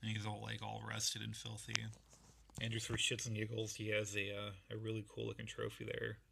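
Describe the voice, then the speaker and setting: Guy with a raspy worn-out voice, kinda bright and edgy, laid back and rambly, faint hiss behind him. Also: on the low side, monotone, fairly quick. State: losing his voice. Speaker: model kit builder. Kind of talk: casual show-and-tell walkthrough.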